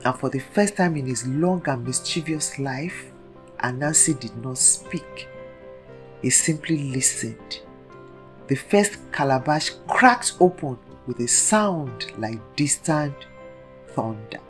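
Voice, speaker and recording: Slow, dramatic woman storyteller. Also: very deep voice, lively intonation, soft background music. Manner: dramatic, deliberate storytelling delivery. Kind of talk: scripted folktale narration.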